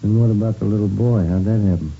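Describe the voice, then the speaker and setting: An actor playing a steady American police detective partner in an understated, plain-spoken radio drama style. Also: male voice, lowish voice, fast, vocal fry, a little hiss or hum. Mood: stern. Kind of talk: 1950s radio drama acting. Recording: dull vintage radio recording, music behind.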